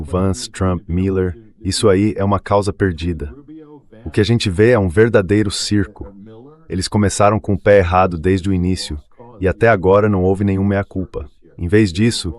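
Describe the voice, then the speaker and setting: Deep-voiced Brazilian man giving analysis. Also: darkish tone. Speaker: interview guest giving political analysis. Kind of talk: translated interview voice-over, analytical commentary.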